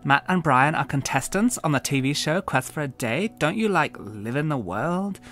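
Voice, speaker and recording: Brief: catty schoolgirl bully, voiced by a male narrator, pitched up, drawn-out sneers. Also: animated, a bit bright, soft background music. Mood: mocking. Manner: drawling, mocking, emphatic. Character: catty mean-girl teen schoolgirl.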